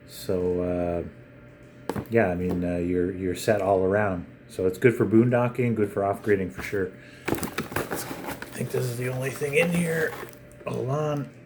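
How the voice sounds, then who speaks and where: A man with a fairly low voice talks viewers through an unboxing in a casual, unscripted way. His delivery is halting, with pauses as he goes. Some background noise sits behind the voice. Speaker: video channel host.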